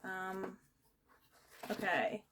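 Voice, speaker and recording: A woman's voice: medium pitch, animated, drawn-out words, noisy background. A young woman with a cold chatting casually as she unboxes items, rambling with many fillers and restarts. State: has a cold, losing her voice. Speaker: vlogger.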